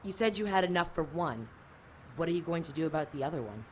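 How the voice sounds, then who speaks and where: A woman's voice: deep voice, expressive, fast, a little hiss or hum. A woman playing a feisty, skeptical sidekick in an audio drama, blunt and full of questions. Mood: skeptical, insistent.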